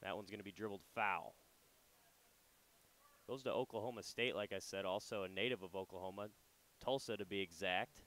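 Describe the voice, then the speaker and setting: A young adult man doing casual radio baseball commentary and play-by-play from a ballpark booth. He talks in a relaxed, chatty way with loose fillers. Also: deep voice, moderate pace, long pauses.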